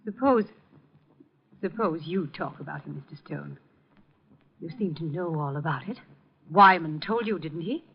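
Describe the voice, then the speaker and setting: A woman's voice: sits low, expressive, unhurried, vocal fry, long pauses, heavily acted. A woman playing a guarded, haughty suspect in a 1950s radio drama, clipped, controlled and challenging. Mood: cool, challenging. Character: cool, haughty, guarded woman under suspicion. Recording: muffled vintage 1950 radio recording.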